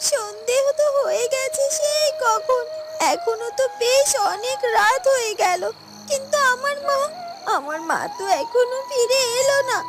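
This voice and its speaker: A woman's voice: very high-pitched, animated, measured pace, loud music behind the voice, heavily acted. A woman voicing an anxious, devoted poor little girl in a cartoon, pleading in a whiny way. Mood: worried, tearful. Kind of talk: Bengali cartoon voice acting.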